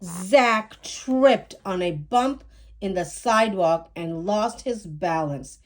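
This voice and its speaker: A woman storyteller reading a children's book aloud in a measured, expressive way. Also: mid-range voice. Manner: measured, acts out dialogue. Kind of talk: expressive scripted read-aloud narration.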